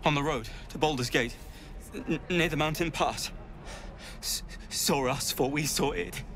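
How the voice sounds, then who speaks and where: A man's voice: on the high side, a little slow, fairly bright tone, slight background noise. A man playing a wary, earnest fantasy villager in a video game, plain-spoken and tense, over faint ambience. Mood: uneasy.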